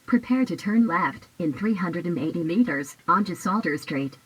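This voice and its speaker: A woman's synthetic GPS voice reads scripted navigation prompts on the low side of female pitch. It comes out of a device speaker, with some noise on the recording. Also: animated, normal speed.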